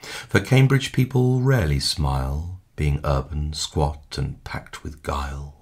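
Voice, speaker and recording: A man giving a dramatic poetry recitation in a measured, expressive way, with hushed line endings. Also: extremely low pitch, slightly rough. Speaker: poetry reader. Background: music.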